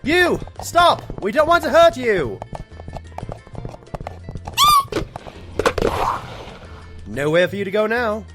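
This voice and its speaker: A man's voice: high voice, huge pitch swings, normal speed, over music. A man playing an earnest, polite pony doctor and detective's assistant in a cartoon audio drama, articulate and courteous. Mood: urgent.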